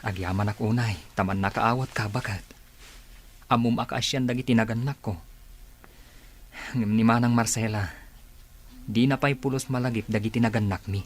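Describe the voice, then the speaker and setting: A man's voice: on the low side, fairly even intonation, moderate pace, pauses often, natural voice. A man playing a caring, generous grown son in a radio drama, respectful and solicitous toward his parents. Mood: grateful. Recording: clear background ambience noise.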